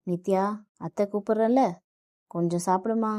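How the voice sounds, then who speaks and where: Woman voicing a gentle mother-in-law. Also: mid-range voice, steady tone, moderate pace, dry recording, natural voice. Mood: worried. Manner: gentle, coaxing.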